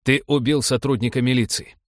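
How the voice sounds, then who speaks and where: A man's voice: medium pitch, steady tone, brisk, no room sound, clean studio sound, pitched above the performer's own voice, natural voice. Man acting barking, aggressive masked police commando. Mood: menacing, angry. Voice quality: muffled with a commanding rasp.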